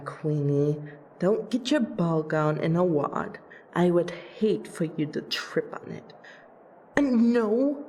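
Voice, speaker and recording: A woman voicing a proud, protective female vampire princess in an audio roleplay, with wry, deliberate, theatrical phrasing. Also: on the low side, vocal fry, warm tone, a little hiss or hum. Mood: defensive, shy. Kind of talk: ASMR audio roleplay acting.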